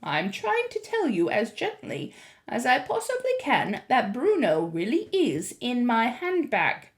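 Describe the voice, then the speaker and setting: A woman voicing a composed, deliberate old grandmother. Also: on the high side, wildly swooping pitch, exaggerated voice. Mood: firm, patient. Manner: measured, deliberate, emphatic.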